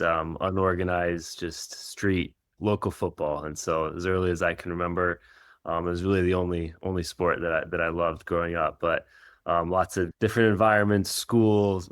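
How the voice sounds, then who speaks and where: A middle-aged man who grew up in Israel, Kenya and the United States, answering interview questions in an informal, reflective, halting way as a coach. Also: deep voice, fairly bright tone, dry recording, studio quality. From Israel and Kenya, United States from age 13. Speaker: professional soccer coach.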